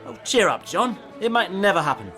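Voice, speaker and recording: A man playing a hearty, married Victorian gentleman in a theatrical period drama. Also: sits high, sing-song, a little fast, faint music behind. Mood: teasing.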